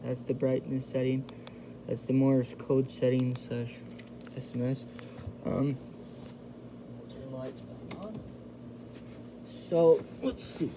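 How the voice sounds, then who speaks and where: A man's voice: medium pitch, background noise. A man giving a casual, hands-on product review in an informal, chatty way, speaking directly to his viewers. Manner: informal, chatty, addresses viewers as "you guys". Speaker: video host reviewing a radio. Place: indoors in a house.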